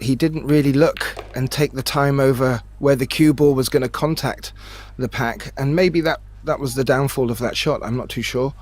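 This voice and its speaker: A man giving expert pool analysis as co-commentator, thoughtful and a bit halting. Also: mid-range voice. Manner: analytical, hesitant with fillers, laughs easily. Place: remote booth.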